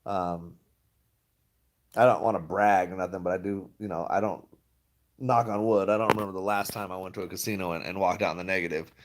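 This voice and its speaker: A man's voice: sits low, fairly even intonation, a little fast, background noise. A man chatting casually to his viewers, rambling and laughing often. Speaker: stock vlogger. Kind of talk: casual livestream chat.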